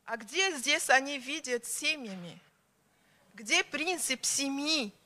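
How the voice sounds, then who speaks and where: Woman giving a formal speech, high bright voice, really slow and deliberate. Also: animated. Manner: slow, emphatic, pausing between list items. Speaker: conference speaker.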